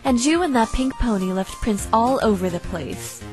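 A woman playing a cold, calculating female unicorn blackmailer in a visual novel fan dub, speaking in a smug, measured, threatening way. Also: fairly high pitch, lively intonation, darkish tone, close to an everyday voice. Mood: coolly threatening. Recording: faint music behind.

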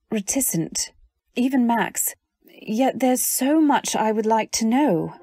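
A woman's voice: medium pitch, warm tone, lightly acted. A woman acts out a young newlywed in an old-time radio drama. She is polite and timid, speaking and narrating with earnest, genteel care. Faint music plays behind her. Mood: curious, troubled.

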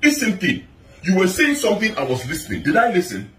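A man's voice: high voice, huge pitch swings, fast talker, bright, forceful tone. Nigerian guy w a big voice arguing at home, swings up and down a lot, echoey and kinda far from the phone. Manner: insistent, repeats his questions. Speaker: husband in a couple video. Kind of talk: heated domestic argument. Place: indoors at home. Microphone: phone camera in a split-screen video. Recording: echoey, from a distance.

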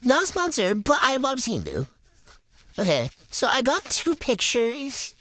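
A teenage girl gushes over her haul in a bright, highish voice that swings up and down. Her speech passes through a voice changer effect, and the sound is close and dry from a handheld phone in her bedroom. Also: unhurried, no room sound. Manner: gushing, excitable, chatty with fillers. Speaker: vlogger showing a haul. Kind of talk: casual unboxing chat.

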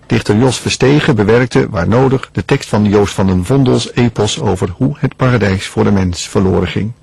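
Low, gravelly male radio presenter. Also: moderate pace, a little mellow. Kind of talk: scripted broadcast introduction.

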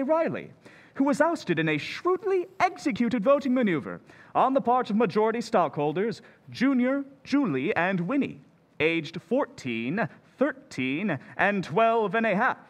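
A man announcing an old-time radio show in a smooth, showy way. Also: sits high, very animated, unhurried. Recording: reverberant hall with faint music.

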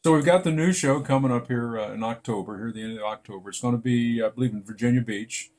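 A man in his sixties interviewing a guest over a video call, halting and supportive, with many restarts. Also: mid-range voice, a little mellow. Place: bright room at home.

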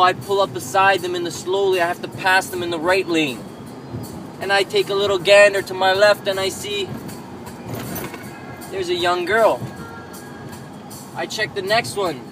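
Man, thirties, high voice, lecturing rant from the driver's seat, dash cam, music under it. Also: steady tone, measured pace, pauses often, rough recording. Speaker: vlogger. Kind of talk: ranting vlog while driving. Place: inside a moving car. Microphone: camera mounted on the dashboard.

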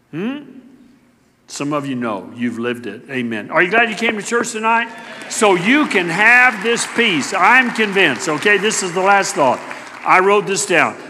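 A middle-aged male pastor preaching with a high, lively voice and a very bright, forceful edge. He moves between loud, emphatic declarations and quiet, hushed asides. His words ring out in a church sanctuary with a long, echoing reverb. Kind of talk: sermon.

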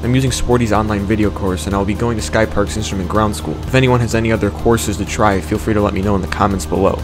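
A man's voice: on the low side, fairly even intonation, fast talker. A young man, a student pilot, giving an explanatory voice-over for a flying video. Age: young adult. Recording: voice over background music.

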